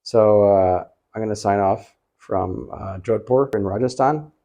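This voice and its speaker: Low-voiced, mellow man vlogging. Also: unhurried. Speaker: travel vlogger. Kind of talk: casual vlog talk.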